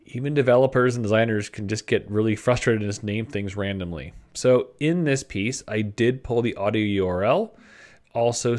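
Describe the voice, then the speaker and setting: A man talks through a screencast tutorial in a mid-pitched voice. His delivery is conversational and informal, like someone thinking aloud while he works at his computer. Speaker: presenter of web design tutorials. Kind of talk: casual screencast tutorial narration. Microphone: desktop microphone at a computer.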